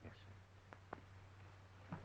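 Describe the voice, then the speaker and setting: A man playing a nervous, deferential office manager with a hesitant, stammering manner in a 1930s film. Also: very slow. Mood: flustered. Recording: muffled, noisy 1930s film soundtrack.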